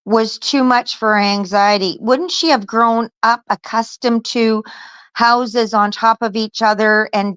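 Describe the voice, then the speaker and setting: A woman co-host thinks out loud in a casual reaction chat, raising questions as she goes. Her voice sits slightly high, and she talks slowly in a dry, close sound. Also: no echo. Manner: questioning, musing.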